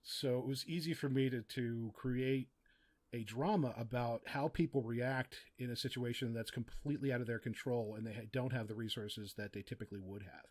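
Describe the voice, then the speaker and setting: A man's voice: medium pitch, steady tone, moderate pace, a little mellow. A middle-aged man speaking as a podcast guest, explaining his craft in a casual, reflective and articulate way. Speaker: podcast guest, audio drama writer and producer. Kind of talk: casual, reflective interview talk.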